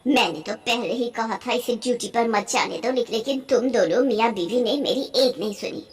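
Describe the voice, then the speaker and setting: A woman's voice: a little high, brisk, slightly rough. A woman voicing a nagging, disapproving mother-in-law in a cartoon story, scolding and complaining.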